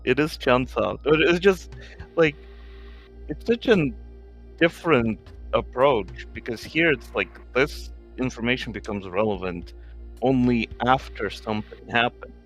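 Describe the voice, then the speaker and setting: A man with a medium-pitched voice chats casually as a game co-commentator. He is excitable and halting, and faint game music sits behind him.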